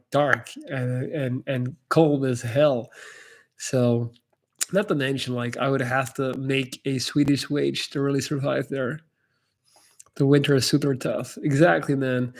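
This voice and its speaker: A man from Belgium, speaking English, answers viewer questions on a live stream. His talk is loose and candid, with frequent "like"s and false starts. His pitch sits in the middle range for a man. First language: Dutch. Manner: candid and loose, full of "like" and restarts. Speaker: YouTuber hosting a live Q&A. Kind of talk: casual livestream Q&A chat.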